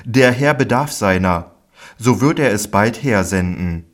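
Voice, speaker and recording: A man's voice: on the low side, expressive. A man reading a solemn teacher's words. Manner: solemn and measured. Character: adult male teacher speaking with calm authority.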